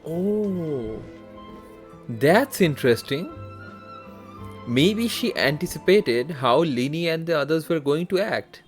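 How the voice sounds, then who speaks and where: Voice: medium pitch, animated, takes it slowly, long pauses. A game streamer giving casual live commentary, hesitant and muttering reactions to themselves. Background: game music.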